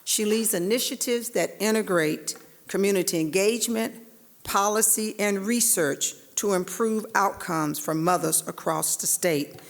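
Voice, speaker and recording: A woman's voice: mid-range voice, unhurried. A woman moderating a panel, giving a formal introduction of the speakers. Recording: long hall reverb.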